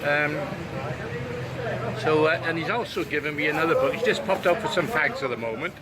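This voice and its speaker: A man's voice: on the high side, brisk. An older man from Wales, chatting casually as an interviewer, laughing often and filling his talk with ums and uhs. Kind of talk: casual chat. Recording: outdoor street recording with crowd noise.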